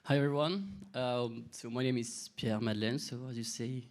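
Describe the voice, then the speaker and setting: A man's voice: medium pitch, steady tone, normal speed. A man in his twenties with a French accent, hesitantly introducing himself to new colleagues. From France. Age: 20s. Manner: hesitant, many fillers.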